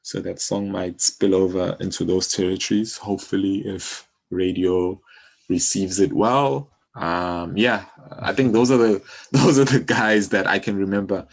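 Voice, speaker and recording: A man's voice: sits low, a little slow, vocal fry. A South African man speaking informally in a casual interview as a guest, thinking aloud as he goes. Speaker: music publisher, interview guest.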